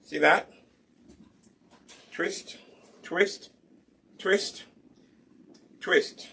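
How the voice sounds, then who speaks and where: A man leads a live hair care lesson, patient and instructive. His voice sits a little high, with a very bright, sharp tone and a husky texture. He often poses a question and then answers it himself. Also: fairly even intonation, very slow. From Uganda.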